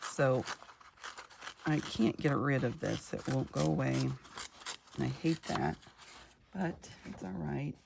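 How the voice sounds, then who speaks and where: Gravelly, chatty woman crafter. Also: extremely low pitch, animated, slow, pauses often, noisy background. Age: middle-aged. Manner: chatty, rambling, homey storyteller. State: gravelly from just waking. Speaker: craft vlogger. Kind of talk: casual crafting chat.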